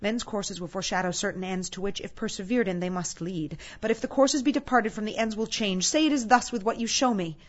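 A woman's voice: mid-range voice, a little fast, hardly any pauses, lo-fi sound, natural voice. A woman voicing a frightened, repentant old man in a storybook, pleading in fervent exclamations. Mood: earnest pleading.

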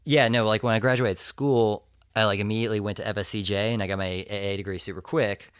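A man's voice: fairly low pitch, fairly even intonation, fast talker, clear, resonant tone, dry recording, clean studio sound. A man in his late twenties, a comedian guest on a podcast, joking and swearing in a self-deprecating way. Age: 20s. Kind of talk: casual podcast chat.

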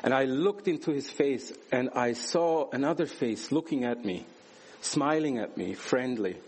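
A man's voice: mid-range voice, a little slow, a little mellow, slightly rough, low-quality recording. A man from Switzerland giving a church sermon, earnest and emphatic, with rhetorical questions and a personal testimony. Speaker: guest preacher.

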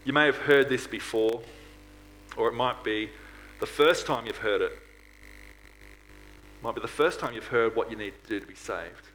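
A man's voice: mid-range voice, measured pace, pauses often, a bit bright, low-quality recording. Male preacher with noticeable background noise. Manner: earnest, with rhetorical questions. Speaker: preacher at a church. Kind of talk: sermon to a congregation.

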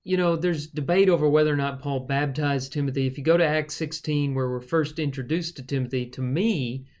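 A man's voice: fairly high pitch, a little fast, darkish tone. A man preacher co-hosting a casual Bible study podcast, thinking aloud with fillers and restarts.

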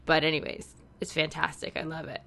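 A woman's voice: low voice, completely flat delivery, fairly quick, gravelly, sharp tone. A Canadian woman co-hosting a podcast, chatting casually with lots of "like" and restarts. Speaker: podcast co-host. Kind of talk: casual chat.

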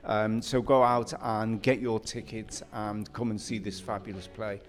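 A man's voice: lowish voice, little pitch movement, measured pace. A man co-hosts a theatre podcast in casual chat. He encourages his guests and wanders through plenty of fillers as he talks. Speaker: podcast host.

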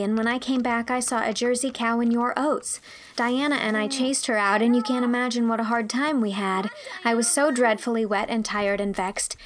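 An earnest teenage storybook heroine, voiced by a woman reader, with a fairly high voice. She talks politely and impulsively, as if pouring out a confession. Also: close to an everyday voice. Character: earnest, impulsive sixteen-year-old girl, contrite and chatty. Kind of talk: audiobook character dialogue.

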